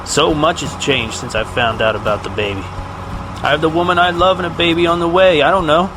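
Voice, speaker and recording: A man's voice: fairly high pitch, a little fast, natural voice. A man acting in an audio drama as a conflicted, earnest fighter uneasy about his future. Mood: troubled. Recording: clear outdoor engine noise behind.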